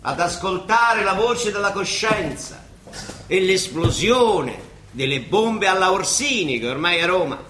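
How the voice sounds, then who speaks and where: An actor playing a weary, ironic papal judge in a radio play, with a Roman accent, declaiming and dropping into wry colloquial asides. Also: male voice, high-pitched, lively intonation, measured pace, echoey room, background noise. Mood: solemn, declamatory. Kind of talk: theatrical radio drama dialogue.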